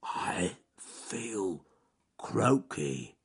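A man's voice: deep voice, very animated, drawn-out words, heavily acted. A man voicing a large, gloomy, proud male bear in a storybook, with a growly voice and a ponderous, grumbling manner. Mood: croaky, grumpy. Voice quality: growly, husky.

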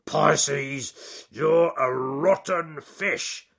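A man voicing a big, sulky male bear who grumbles slowly and feels sorry for himself in a storybook reading. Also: fairly high pitch, vocal fry, a bit bright, slightly rough, voice pushed up, heavily acted. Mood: cross. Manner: slow, grumbling, self-pitying.

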